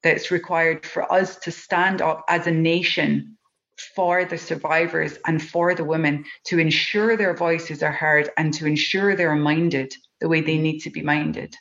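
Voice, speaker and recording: A woman's voice: sits low, steady tone, unhurried, a bit bright. Scottish woman guest, earnest and heartfelt, lo-fi sound. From Scotland. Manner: earnest, heartfelt, many ums and restarts. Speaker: project organiser guest. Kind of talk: podcast conversation.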